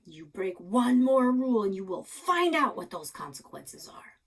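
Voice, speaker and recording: A woman's voice: mid-range voice, big pitch swings, measured pace. Woman reading as a stern, scolding old grandfather. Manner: scolding, commanding.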